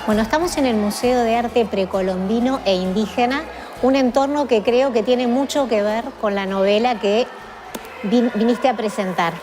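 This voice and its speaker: A woman's voice: fairly high pitch, normal speed, over music. Woman hosting a book show. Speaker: host and interviewer. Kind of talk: casual chat and interview. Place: museum.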